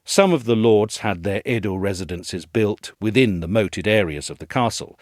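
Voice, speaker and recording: Male narrator. Scripted essay read with very varied intonation, articulate and measured, in a dry recording. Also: fairly low pitch, expressive, darkish tone, dry recording. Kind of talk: scripted documentary-style narration.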